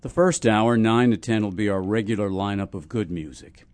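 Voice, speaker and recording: A man hosting community radio, chatting casually about music in an unhurried, reflective way. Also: lowish voice, darkish tone, dry recording.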